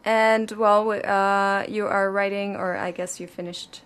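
A woman hosts a relaxed campus radio chat from the studio. She hesitates often and fills her pauses. Her voice sits at a middle pitch. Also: clean tone. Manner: hesitant, lots of fillers, laughs easily. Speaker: radio host. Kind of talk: casual campus radio interview.

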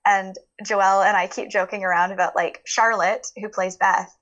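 A woman's voice: mid-range voice, steady tone, moderate pace, fairly bright tone, low-quality recording. Chatty woman in a casual interview. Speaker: guest, audio play producer.

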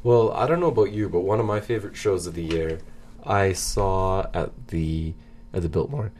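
Very deep-voiced, mellow man. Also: moderate pace. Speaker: radio show co-host. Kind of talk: casual music chat between songs.

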